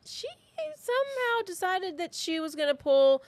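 A woman podcast co-host telling a true crime story in an informal, conversational way, with halting asides. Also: very high-pitched, very animated, no echo.

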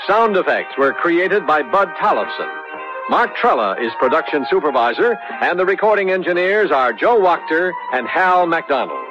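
An American man reading scripted credits as a 1970s radio announcer. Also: a little high. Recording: dull radio recording with music. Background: music.

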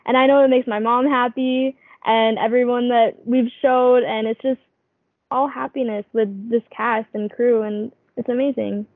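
A woman's voice: a little high, fairly even intonation, normal speed, no echo. A young woman from the Philippines, an actress guest, chatting casually and eagerly. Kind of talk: casual interview chat. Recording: phone-like thin audio.

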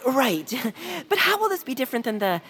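A man's voice: extremely high pitch, very animated, moderate pace, pushed and bright, full character voice. A man playing an anxious, cautious young bird sidekick in a family audio drama, hesitant and polite. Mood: worried. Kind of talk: family audio fiction acting.

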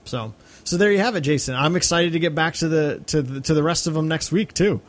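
A man co-hosting a casual film podcast, agreeable and a little halting, often repeating words as he goes. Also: fairly high pitch. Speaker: podcast co-host.

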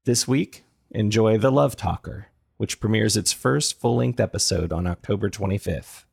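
Very gravelly, husky man announcer. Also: lowish voice, moderate pace, darkish tone, no room sound. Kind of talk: scripted promo read.

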